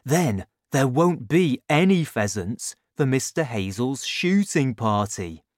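Man doing lower-voiced father, confiding, slow, dry close sound. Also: big pitch swings, dry recording. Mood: scheming. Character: warm, scheming father, quietly resentful. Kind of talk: audiobook character voice.

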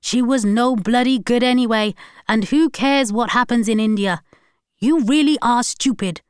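A woman reading a story aloud, voicing an angry, guilty middle-aged husband who shouts at his wife. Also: fairly high pitch, normal speed, smooth, dry recording, clean studio sound, voice pushed up, lightly acted.

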